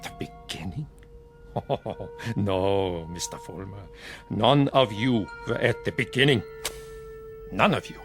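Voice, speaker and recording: A man's voice: lowish voice, over music. Sinister, theatrical male manipulator. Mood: mocking, grandiose. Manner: deliberate, theatrical, commanding. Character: sinister, cunning male mentor, grandly manipulative. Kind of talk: radio drama acting.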